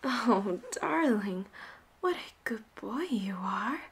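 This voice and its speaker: A woman acting a flirty, dominant and cocky female pirate captain in a roleplay audio drama, teasing and breathy, often dropping toward a whisper. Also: mid-range voice, expressive, slow pace, exaggerated voice. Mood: smug, flirty. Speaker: voice actor.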